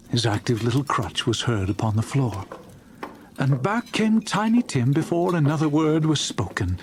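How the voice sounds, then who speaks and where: A man narrating a dramatized classic story as an audiobook, in a theatrical, expressive storytelling manner. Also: medium pitch, slight background noise.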